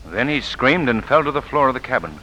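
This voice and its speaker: Gentleman detective: mid-pitched, expressive, measured, articulate, vintage radio drama, noisy recording. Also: muffled recording. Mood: puzzled, serious. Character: calm, shrewd gentleman sleuth. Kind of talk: 1940s radio acting.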